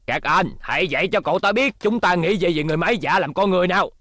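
A man's voice: sits high, very fast, pushed and bright, a little hiss or hum. A man voicing a smug, taunting middle-aged gangster boss in a cartoon dub. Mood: menacing. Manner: taunting, menacing. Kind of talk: dubbed cartoon villain acting.

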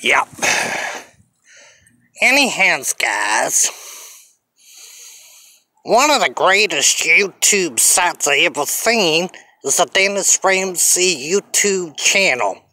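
A man's voice: high voice, sing-song, sharp tone, no echo. An older man from Canada rambling in a selfie vlog, filmed outdoors on a phone held at arm's length, drawling and repeating himself as he promotes his channel. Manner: slow, drawling, repetitive self-promotion. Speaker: vlogger. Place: outdoors on a sunny hillside street.

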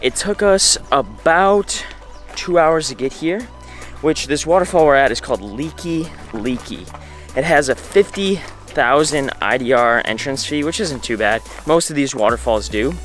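A man's voice: medium pitch, expressive, moderate pace, fairly bright tone, faint music behind. A young man talking to a handheld camera at arm's length outdoors, upbeat and enthusiastic as he walks and guides his travel vlog. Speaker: travel vlogger. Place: outdoors on roads and jungle paths. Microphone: handheld selfie camera at arm's length.

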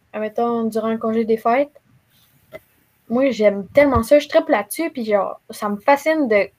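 A young woman with a high-pitched voice and a Québécois French accent. She chats in a casual way as a panelist on a video call, recorded by a computer mic in a room at home. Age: young adult. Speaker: talk show panelist. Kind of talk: casual chat.

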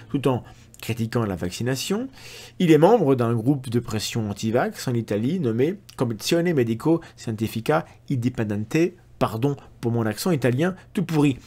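A man's voice: medium pitch, darkish tone. A man in his forties, a science skeptic host, reading a critical analysis to camera with sardonic, ironic asides. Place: indoor room at a desk.

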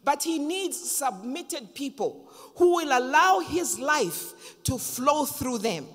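Zambian woman pastor in her fifties, fairly high voice, slow and dramatic delivery. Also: sing-song, a bit bright. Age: 50s. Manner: emphatic, slow and dramatic with pauses. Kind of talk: sermon. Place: large church hall, on stage at a pulpit. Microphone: handheld microphone. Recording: long reverb of a church hall.

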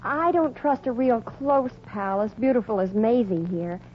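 An earnest young wife who wavers in an old-time radio comedy. Her voice sits high. The 1940s recording is dull with a faint layer of noise. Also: animated, unhurried. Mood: sweetly firm.

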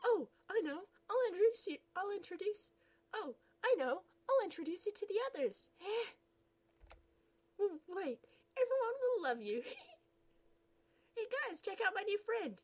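Woman doing a squeaky bubbly fairy girl. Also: very animated, a little slow, pauses often, exaggerated voice. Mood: gushing. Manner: squealing, gushing, over-eager.

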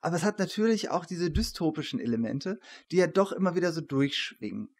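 A man's voice: on the high side, lively intonation, brisk, darkish tone. A man in his forties hosting an academic interview, putting long discursive questions with many qualifications, at a desk microphone indoors. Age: 40s. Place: small attic room, indoors. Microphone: studio microphone on desk.

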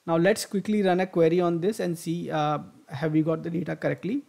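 A man narrating a screen-recorded software tutorial, thinking aloud with frequent fillers and restarts as he clicks through steps. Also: on the high side, level tone, brisk, gravelly. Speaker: software instructor.

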